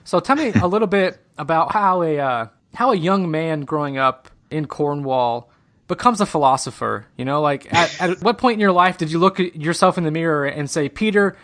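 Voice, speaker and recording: Higher-pitched American man hosting a podcast. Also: normal speed, fairly bright tone. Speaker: podcast host and interviewer. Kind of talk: podcast introduction and casual interview.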